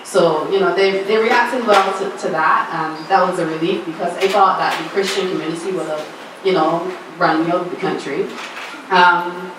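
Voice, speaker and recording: Woman, Barbadian accent, candid panel talk, slightly low voice, very echoey room. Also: steady tone, moderate pace. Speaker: screenwriter and filmmaker on a panel. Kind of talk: panel discussion answers. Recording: echoey room, far from mic, background noise.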